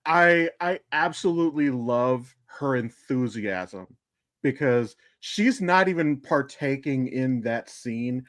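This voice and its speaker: A man with a medium-pitched voice hosts a casual podcast. He speaks informally, like chatting with friends, and the sound is dry with no room around it. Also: animated, takes it slowly, a bit bright, no room sound. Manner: informal, freely swearing. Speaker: podcast host. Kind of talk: casual chat.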